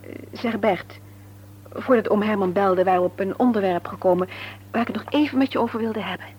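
An actress playing a sensible, frank and caring wife in a radio serial, reasoning earnestly and gently chiding. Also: female voice, mid-range voice, fairly quick, natural voice. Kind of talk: Dutch radio serial drama acting. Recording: noisy old radio recording.

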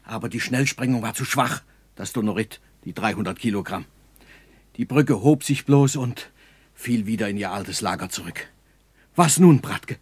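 A man playing an earnest, dutiful German army engineer captain in a 1960s radio drama, husky and formal in his military reports. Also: on the low side, normal speed, no echo. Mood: shaken, despairing.

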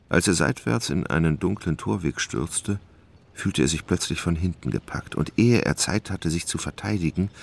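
A man narrating a literary radio drama in a measured, dramatic way. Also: low-pitched, creaky, no echo.

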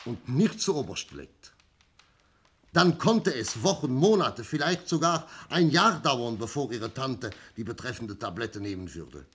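A shrewd police inspector in a vintage radio crime play. His voice is husky and swings widely as he lectures with confidence. He leans on pauses for dramatic weight. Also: medium pitch, fairly bright tone, heavily acted. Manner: theatrical, didactic, emphatic pauses. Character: shrewd, self-assured male police inspector explaining a murder. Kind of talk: 1960s German radio crime drama acting.

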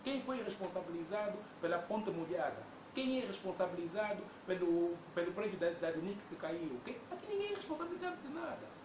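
A man's voice: high-pitched, sing-song, fairly quick, hardly any pauses. A man with an Angolan Portuguese accent, speaking at a public debate with emphatic rhetorical questions. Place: debate hall indoors. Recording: echoey room with background noise.

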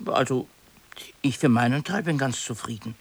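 A man's voice: medium pitch, moderate pace, husky. A man playing a chatty, fussy older office clerk in a naturalistic radio play, musing and circumspect as he talks. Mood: frank, conciliatory.

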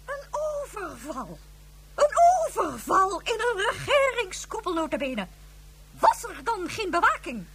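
An actress playing an alarmed, indignant stateswoman in a radio play, shrill and quick to outrage. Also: very animated, bright, forceful tone, slight background noise, full character voice. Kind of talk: 1970s radio drama acting.

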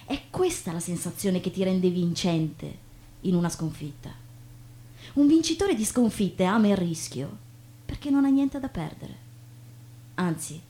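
A woman acting on stage as a chatty, naive young woman, expressive and colloquial. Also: medium pitch, natural voice. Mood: earnest, declamatory. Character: chatty, inquisitive, self-deprecating young woman, breezily naive. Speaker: stage actress. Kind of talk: theatrical monologue and dialogue. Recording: noticeable background noise with hum.

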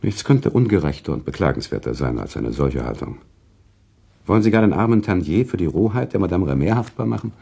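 An earnest, high-minded gentleman in a literary radio drama. His voice is low, dark and husky, and he speaks formally and precisely. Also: very animated, fairly quick, heavily acted. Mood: indignant. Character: earnest, indignant male narrator-friend, a moralising gentleman. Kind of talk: German radio play, literary dialogue.

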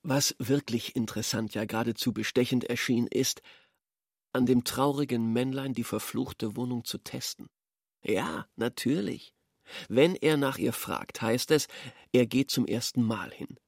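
A man's voice: mid-range voice, a little slow, dry recording, clean studio sound. An actor playing a shrewd, nosy man thinking aloud as he eavesdrops, musing in clipped phrases in a radio drama. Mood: musing, calculating. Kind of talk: radio drama interior monologue.

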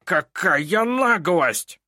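A man's voice: on the high side, very animated, measured pace, fairly bright tone, no room sound, studio quality, voice pushed up, full character voice. A man voicing a haughty, furious older male judge in an audiobook, barking and blustering. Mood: raging.

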